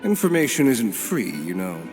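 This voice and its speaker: A man's voice: mid-range voice, huge pitch swings, normal speed, over music. A man playing a guarded, cynical underworld figure in an anime game, dry and knowing in his delivery.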